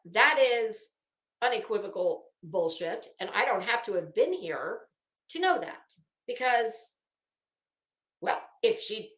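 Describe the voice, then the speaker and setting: A woman reading aloud with mocking commentary, sarcastic and ranting, swearing freely and stopping for halting, drawn-out emphasis. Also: fairly high pitch, a bit bright. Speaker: commentary vlogger. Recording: roomy, echoing room sound.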